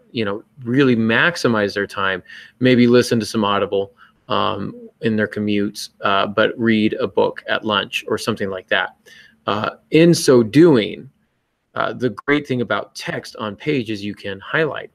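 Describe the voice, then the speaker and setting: A man's voice: fairly low pitch, vocal fry, no echo. A man co-hosting a podcast, giving casual writing advice in a joking, self-mocking manner. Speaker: podcast co-host and novelist.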